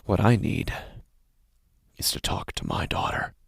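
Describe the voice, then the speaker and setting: A man playing an anguished, sleepless, guilt-ridden father in a horror audio drama, halting and breathy, swinging between hushed and intense delivery. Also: dry recording, whispered, studio quality, close to an everyday voice. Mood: weary, bitter. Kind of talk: dramatic horror audio narration in first person.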